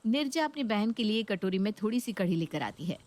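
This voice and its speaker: A woman's voice: mid-range voice, big pitch swings, brisk, a little mellow. A woman narrating a scripted Hindi story.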